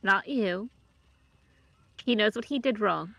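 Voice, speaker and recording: A woman's voice: mid-range voice, takes it slowly, creaky, bright, forceful tone, no room sound. A woman chatting casually with friends during an online game, with dry, sassy banter. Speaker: friend in voice chat. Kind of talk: casual gaming chat.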